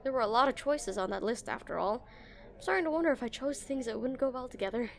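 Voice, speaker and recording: A woman's voice: fairly high pitch, expressive, fast. A woman playing an awkward, smitten game developer on a dinner date in a romantic audio roleplay, nervous and stammering. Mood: nervous, flustered. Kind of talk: scripted romantic roleplay, spoken to the listener.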